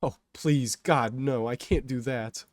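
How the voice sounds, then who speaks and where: A man's voice: mid-range voice, normal speed, no echo, voice pushed up, close to an everyday voice. A man voicing a selfish, cynical, easily panicked young man, protesting and incredulous, in a dramatic story retelling. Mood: dismayed.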